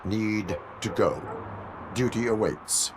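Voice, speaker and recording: A stern cyborg cop. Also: male voice, fairly low pitch, takes it slowly, background noise. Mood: flat. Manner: clipped, formal, emotionless speech. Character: stern cyborg police officer, flat and dutiful. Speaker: police officer.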